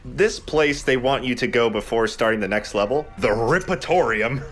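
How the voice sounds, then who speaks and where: A man's voice: medium pitch, moderate pace, a bit bright, soft background music. A man giving casual commentary over video game footage as a let's play commentator.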